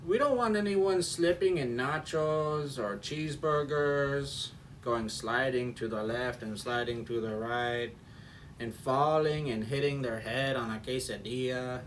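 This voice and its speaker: A man voicing a goofy male train conductor giving silly announcements in a slow, mock-official tone. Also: mid-range voice, far from the mic, slight background noise, close to an everyday voice.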